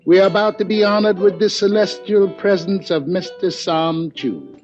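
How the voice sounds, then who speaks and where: A genial musician and loyal retainer in a 1950s radio drama. His voice is somewhat high, ceremonious and obliging. It comes through a dull old recording with guitar faintly behind. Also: expressive, moderate pace, darkish tone.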